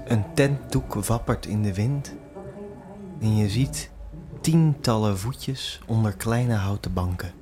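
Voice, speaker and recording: A man's voice: fairly low pitch, slow, mellow. A man narrating an immersive audio drama in the second person, with a suspenseful storytelling manner. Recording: music behind the voice. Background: music.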